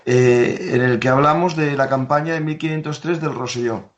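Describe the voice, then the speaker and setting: A man hosting a history podcast, chatting casually and agreeing often with repeated quick affirmations. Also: medium pitch, reverberant. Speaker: podcast host. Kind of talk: casual interview. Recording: dull lo-fi sound.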